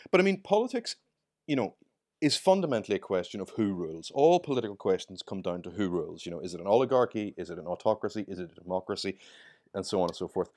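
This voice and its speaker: Deep-voiced Irish man, discursive. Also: lively intonation, fast talker, darkish tone. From Ireland. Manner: discursive, repeats words when starting sentences. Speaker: guest commentator. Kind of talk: podcast discussion.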